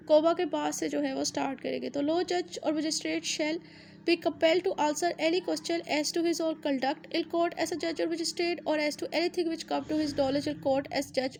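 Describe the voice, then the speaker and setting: A woman's voice: sits high, creaky, a little mellow. A woman with a Pakistani accent giving an explanatory law lecture, mixing in English legal terms and restating each point in a didactic way. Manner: didactic, repeats and rephrases points.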